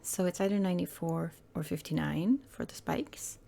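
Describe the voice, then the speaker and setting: Woman, hoarse croaky voice, gravelly fry, low mellow tone, rambling casual game commentary. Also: normal speed. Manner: rambling, hesitant, self-correcting. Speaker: gaming channel host.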